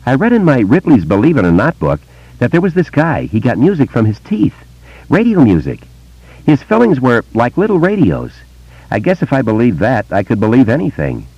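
A man voicing a curious, excitable small-town boy who talks in a quick, slangy way. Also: medium pitch, husky, no room sound, pitched above the performer's own voice, heavily acted. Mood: earnest. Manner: breathless, excitable, slangy kid talk. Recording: dull lo-fi dry recording.

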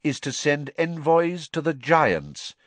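A man voicing a calm, commanding old wizard headmaster who speaks coolly and with measured authority. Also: a little high, no echo, pitched above the performer's own voice, full character voice. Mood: grave. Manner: cool, measured.

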